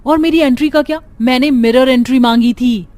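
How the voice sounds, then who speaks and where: Lady doing a bratty bride-to-be in a cartoon, bossy n whiny, high excited voice. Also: fairly quick, no room sound, close to an everyday voice. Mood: demanding, excited. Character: spoiled, demanding young bride nagging her parents.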